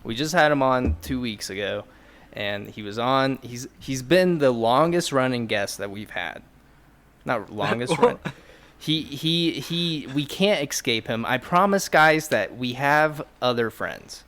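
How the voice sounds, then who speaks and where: A man's voice: medium pitch, expressive, measured pace, fairly bright tone. A man hosting a podcast in casual, joking chat, teasing and rambling as he goes. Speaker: podcast host.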